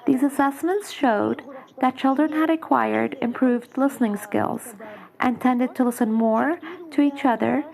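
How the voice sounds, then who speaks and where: Measured woman with vocal fry. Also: high-pitched, darkish tone. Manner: measured, formal, reads like prepared answers. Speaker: guest, social entrepreneur. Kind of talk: podcast interview answers.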